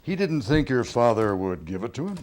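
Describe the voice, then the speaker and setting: An actor playing a terse, probing police interrogator asking dry questions in a drama. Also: male voice, medium pitch, big pitch swings, brisk, darkish tone, natural voice. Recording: noisy room with typing.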